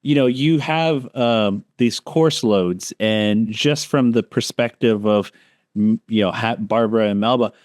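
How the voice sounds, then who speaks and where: A man's voice: fairly low pitch, a little slow, darkish tone, no echo, clean studio sound. A man hosting a podcast interview, asking long, rambling questions. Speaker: podcast host. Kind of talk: casual interview. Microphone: remote call.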